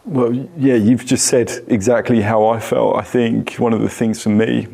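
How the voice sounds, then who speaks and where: A man's voice: mid-range voice, fairly even intonation, moderate pace, gravelly, dark tone. A man, chatting casually about his own experience in a group. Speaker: panel participant. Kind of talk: casual personal chat.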